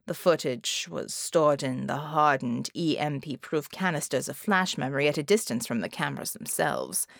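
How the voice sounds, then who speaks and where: A woman's voice: deep voice, lively intonation, normal speed, gravelly, few pauses, no room sound, clean studio sound, pitched below the performer's own voice. Woman playing a sly, smirking shapeshifter villain. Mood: calculating.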